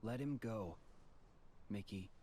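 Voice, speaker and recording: Young male warrior, game cutscene: composed, loyal friend, lowish register, reassuring and steady. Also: slow pace, slight background noise. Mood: calm, firm. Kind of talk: video game cutscene acting.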